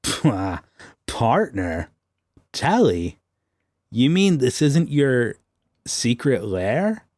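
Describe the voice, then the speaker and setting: A gloating, pompous superhero sergeant played by a man in a comic audio drama. He taunts slowly and theatrically, with big, animated swoops of pitch. The recording is close and dry. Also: no room sound, heavily acted. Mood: mocking, gloating.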